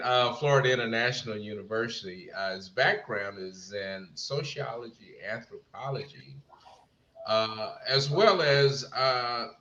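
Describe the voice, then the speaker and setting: A man's voice: on the low side, sharp tone. A man co-hosting an online panel, reading out a guest's background with many hesitations. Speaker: co-host introducing guests. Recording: roomy, muffled audio with faint noise.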